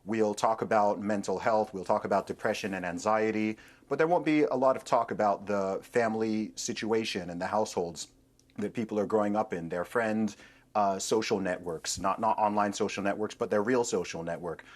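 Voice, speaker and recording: A man appearing as a guest on a TV debate show, giving measured commentary that builds its points as a list. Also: fairly low pitch. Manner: steady, builds points in lists.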